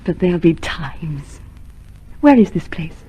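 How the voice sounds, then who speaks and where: Husky, lively young rebel woman. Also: on the low side, measured pace, heavily acted. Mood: conspiratorial. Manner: teasing, intimate, playful. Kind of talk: mid-century radio drama acting. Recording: slightly hoarse-sounding old radio recording.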